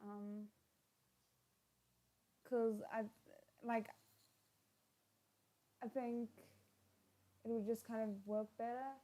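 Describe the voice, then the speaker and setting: A woman giving casual, expressive live reaction commentary as a livestream host. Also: fairly high pitch, drawn-out words, long pauses. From South Africa. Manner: expressive, exclaims and giggles while watching.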